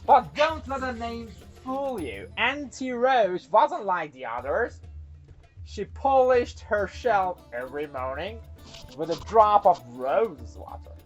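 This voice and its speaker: Lively woman storyteller. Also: mid-range voice, slow, soft background music. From Iran. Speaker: storytelling host. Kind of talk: casual introduction and folktale narration for children.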